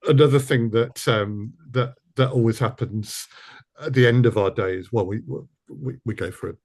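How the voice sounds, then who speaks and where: A man's voice: medium pitch, moderate pace, darkish tone. A man interviewing casually as a producer, with a hesitant, jokey way of talking. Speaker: interviewer, audio drama producer.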